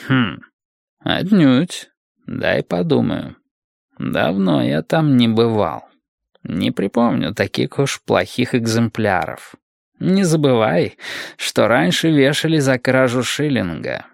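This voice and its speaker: A man reader plays an ancient, otherworldly male guardian in a storybook. His velvety voice is slow and slightly creaky, with calm, formal, deliberate phrasing. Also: mid-range voice, no echo, studio quality, heavily acted. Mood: calm, thoughtful.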